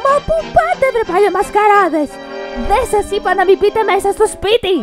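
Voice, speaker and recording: A woman voicing a fussy, feisty older housekeeper in a fan dub of an animated film, doting and then scolding. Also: music in the background. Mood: outraged. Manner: scolding, doting, shrill when angry.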